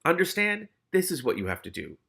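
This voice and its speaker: A man voicing a wily, grumbling streetwise cat in a storybook reading, quick to hedge and bargain. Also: on the high side, sing-song, vocal fry, pushed and bright, voice pushed up, full character voice. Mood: sardonic, grumbling. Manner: quick-fire, hedging, sardonic. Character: wily talking male cat, streetwise, grumbling, anxiously deferential.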